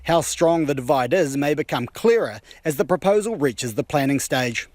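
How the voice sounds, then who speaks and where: A man reporting in a calm, measured scripted way. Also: on the high side, no room sound.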